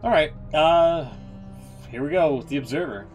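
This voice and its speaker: A man streaming casual reaction commentary, talking in an informal, halting, sweary way. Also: fairly high pitch, a little mellow, faint music behind. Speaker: game streamer.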